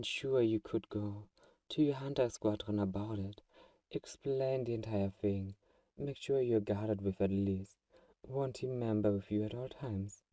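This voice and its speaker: A man playing a possessive vampire who is gentle, coaxing and condescendingly protective, in a soft-spoken, intimate ASMR roleplay. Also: lowish voice, dry recording, recorded from a distance, lightly acted. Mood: calm, controlling.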